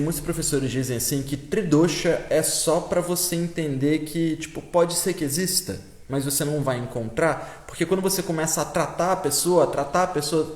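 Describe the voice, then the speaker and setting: A man with a Brazilian Portuguese accent, his voice sitting a little high, talks through a live-stream lesson. He explains in a loose, chatty way, answering viewers and checking in with listeners every few phrases. The sound carries a noticeable room echo. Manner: casual explaining, reads out viewer names, constant "tá?" check-ins. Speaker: Ayurveda teacher hosting a live stream. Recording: roomy echo.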